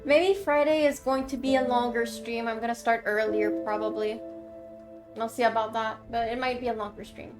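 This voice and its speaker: A high-voiced woman with a Quebec French accent chats loosely with her stream viewers, trailing off and laughing as she goes. Plain game music plays clearly behind her throughout. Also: fairly even intonation, moderate pace. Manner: chatty, rambling, laughs often, thanks viewers. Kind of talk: casual chat with viewers.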